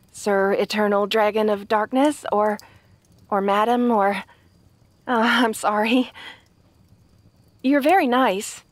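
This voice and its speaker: A woman's voice: fairly high pitch, no echo. A woman playing a kind, naive young princess in a fantasy audio roleplay, speaking politely and hesitantly to the listener. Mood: shy, grateful. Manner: hesitant, polite, rambling earnest explanations.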